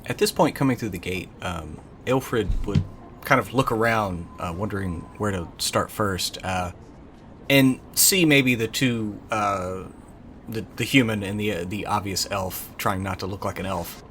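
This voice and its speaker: A man playing a tabletop game, improvising his character's words and actions in a hesitant, halting way. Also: fairly low pitch, fairly bright tone, slight background noise.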